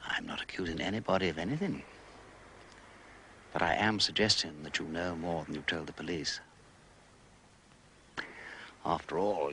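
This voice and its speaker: Bright, lilting upper-class English gentleman sleuth. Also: fairly low pitch, heavily acted. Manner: breezy, courteous, understated. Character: urbane, playful gentleman amateur detective, probing politely. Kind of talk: British detective drama dialogue.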